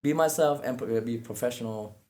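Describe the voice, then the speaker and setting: A man from Vietnam, a native Vietnamese speaker with a Vietnamese accent, talks casually and earnestly as an interview guest, often repeating himself and halting. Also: mid-range voice, dark tone. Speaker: interview guest, real estate agent.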